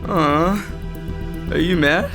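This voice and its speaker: A man's voice: on the high side, extremely slow, music in the background. An actor playing a sloppy drunk male executive in an audio soap opera, full of muddled apology.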